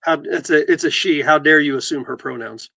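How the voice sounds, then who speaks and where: A man co-hosting a casual real estate talk show, reading headlines aloud and joking, with halting restarts. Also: vocal fry, pushed and bright, no echo. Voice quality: deep voice. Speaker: podcast host and realtor.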